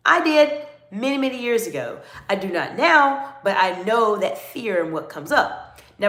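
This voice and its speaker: A middle-aged American woman coaching leaders in a livestream, animated and greeting viewers by name. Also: mid-range voice, roomy sound. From United States. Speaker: executive coach. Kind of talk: livestream talk with chat.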